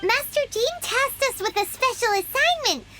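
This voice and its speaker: A woman voicing a tiny, chatty childlike fairy companion in a video game, with a squeaky little voice. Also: lively intonation, moderate pace, noisy background. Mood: explaining. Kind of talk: scripted video game voice acting. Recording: loud game music behind.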